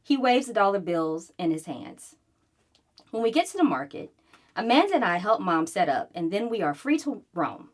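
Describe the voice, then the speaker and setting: A woman reads a children's storybook aloud with an animated delivery. Her pitch sits in a middle range for a woman and moves freely up and down, giving the narration an expressive, storytelling feel. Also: moderate pace. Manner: animated, acting out dialogue. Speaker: reader of a children's book.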